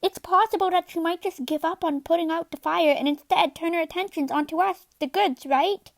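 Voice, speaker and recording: A woman's voice: very high-pitched, fairly quick, creaky, dry recording. A woman playing an earnest, determined young orphan girl in an urgent, anime-style fan dub. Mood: thoughtful, questioning.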